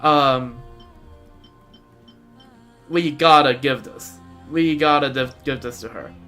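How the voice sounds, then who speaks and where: A man doing casual live game commentary as a streamer, rambling and halting as he repeats words. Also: mid-range voice, sharp tone. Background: game music.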